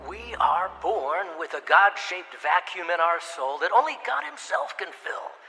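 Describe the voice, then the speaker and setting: A man's voice: sits high, expressive, a little slow, vocal fry, bright, forceful tone, soft background music, full character voice. An actor playing a fervent male preacher delivering a declamatory, rhetorical sermon in a radio drama. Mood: fervent, exhorting. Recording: long hall-like reverb.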